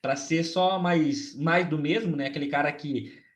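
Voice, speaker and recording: A slightly hoarse man with a Brazilian Portuguese accent, hosting a casual trading recap and talking chattily with many tag questions. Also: fairly high pitch, a little slow. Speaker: trading channel host.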